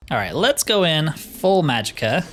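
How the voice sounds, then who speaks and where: A man's voice: fairly high pitch, big pitch swings, normal speed, a bit bright, a little hiss or hum. A man giving casual let's play commentary, thinking aloud as he plays and grumbling wryly. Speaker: gaming video host.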